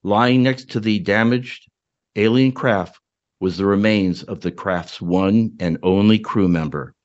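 A man, a podcast co-host, giving a dramatic reading of his own science fiction short story in a measured storytelling manner with dry asides. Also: on the low side, darkish tone, lo-fi sound.

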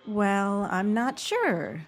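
A woman's voice: medium pitch, very animated, drawn-out words. An actress playing an emotional, earnest woman talking with a close friend in a radio play. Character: woman friend, emotional, sports-loving, turning sweetheart.

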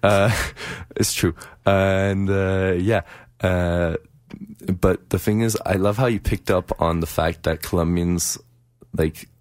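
A man's voice: low voice, slow pace, no room sound, clean studio sound. A young man hosting a student radio show, chatting casually and hesitantly with many fillers. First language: Farsi. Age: young adult. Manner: relaxed, hesitant with many "uh"s, friendly. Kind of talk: casual chat.

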